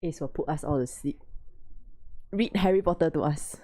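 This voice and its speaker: A woman's voice: low-pitched, moderate pace, warm tone. A woman hosting a livestream, chatting casually with friends on a call, teasing and laughing often. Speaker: livestream host. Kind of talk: casual chat on a video call.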